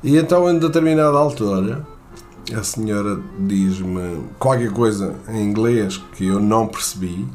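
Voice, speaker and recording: A man from Portugal with a European Portuguese accent, telling a personal anecdote in a halting way, with many fillers and restarts. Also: on the low side, darkish tone, soft background music.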